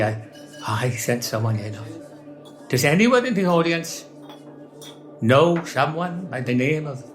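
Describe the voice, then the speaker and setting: A blustering Welsh stage medium hosts a comic seance, played by a man. His voice sits high and swoops all over the place as he coaxes the crowd with chatty showman patter. Faint music hums underneath. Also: fairly bright tone. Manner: chatty, bluffing showman addressing the audience. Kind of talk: comedy sketch monologue.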